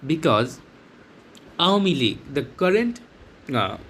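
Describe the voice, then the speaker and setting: Young man from Bangladesh, higher voice, excited, stop-start vlog talk, slight background hiss. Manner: excited, halting, with many restarts and fillers. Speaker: vlogger. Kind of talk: casual talk to camera.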